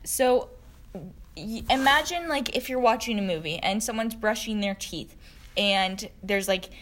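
A woman's voice: medium pitch, measured pace, a bit bright, a little hiss or hum. A woman with a Virginia accent co-hosting a podcast in easygoing, casual chat, laughing often. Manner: giggly, easygoing. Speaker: podcast co-host.

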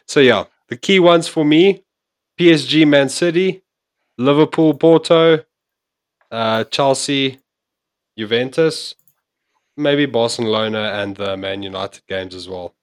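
Casting: relaxed male host reading out football fixtures. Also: mid-range voice, takes it slowly, long pauses. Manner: runs through fixtures, easygoing. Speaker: podcast host. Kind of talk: casual football chat.

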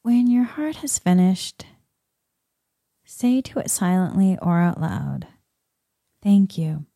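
A woman guiding a meditation in a soft, soothing way, with long pauses. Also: mid-range voice, expressive, dry recording, studio quality. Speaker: meditation guide. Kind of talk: guided meditation.

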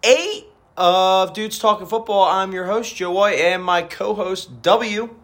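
A man hosting a casual fantasy football podcast, his voice raspy from a weekend party, rambling with frequent restarts. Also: high voice, slow, fairly bright tone. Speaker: podcast host.

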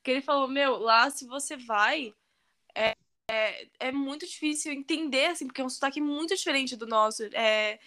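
Young sao paulo woman w strong r, high bright voice, just chatting, slangy n animated. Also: normal speed. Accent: São Paulo Brazilian Portuguese with strong R. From São Paulo state, Brazil. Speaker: conversation partner. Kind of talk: casual chat.

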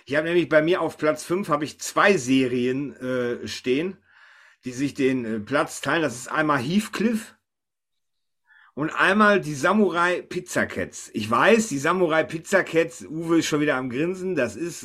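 A man's voice: fairly high pitch, fairly bright tone. A man hosting a casual podcast chat, talking informally. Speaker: podcast host.

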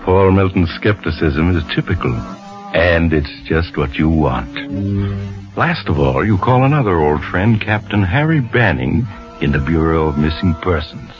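A man's voice: low voice, expressive, measured pace. A man playing a sinister, knowing storyteller, narrating old-time radio suspense with dramatic, insinuating emphasis. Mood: ominous, knowing. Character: sinister, knowing male storyteller addressing the culprit. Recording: muffled old radio recording. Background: loud dramatic music.

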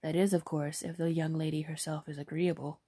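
A woman voicing a kind, courteous young prince who speaks politely and a little louder than her narration. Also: low voice, flat delivery, fairly quick, slightly rough, no room sound, studio quality.

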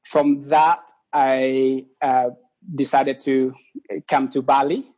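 Halting man telling his story. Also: mid-range voice, flat delivery, a little mellow, rough recording. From East Timor. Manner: halting, many restarts and repeated words. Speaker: guest. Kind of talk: casual interview.

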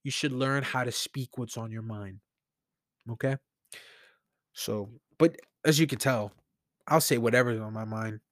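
A man's voice: medium pitch, a little slow, long pauses, a bit bright, dry recording, studio quality. A man hosting a solo podcast alone in his room, thinking aloud in a rambling, conversational way into a mic with headphones on. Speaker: podcast host. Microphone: studio mic with headphones.

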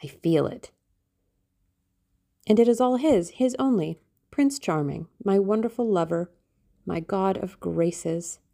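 A woman's voice: medium pitch, expressive, takes it slowly, creaky, long pauses, mellow. A woman voicing a dreamy, impulsive teenage girl in love, theatrical and exclamatory, in an audiobook. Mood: rapturous. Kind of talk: audiobook character acting.

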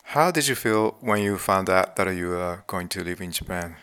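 A man hosting a podcast, reading out his interview questions in a measured, scripted way. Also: sits low, animated, a bit bright, clear, resonant tone. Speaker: podcast host.

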